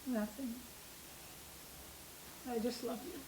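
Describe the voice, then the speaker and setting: A woman's voice: a little high, fairly even intonation, slow. A woman playing an affectionate, fussing mother of a grown son in a staged reading of a play. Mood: tender. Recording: distant, echoey room, loud noise.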